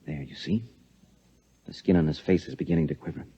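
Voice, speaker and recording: A secretive, menacing American husband in a fifties radio thriller. His voice is low, clipped and controlled, slightly rough, on a dull old recording. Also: sing-song, fairly quick, warm tone, heavily acted. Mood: cold menace. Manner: curt, controlled, threatening. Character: menacing, secretive husband interrogating with cold suspicion. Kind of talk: 1950s American radio drama acting. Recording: muffled vintage radio recording.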